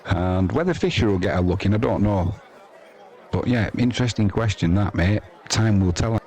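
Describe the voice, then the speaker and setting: A man's voice: on the low side, animated, normal speed, a little mellow. A man with an English accent giving casual, rambling, chatty live cricket commentary as the host of a sports stream.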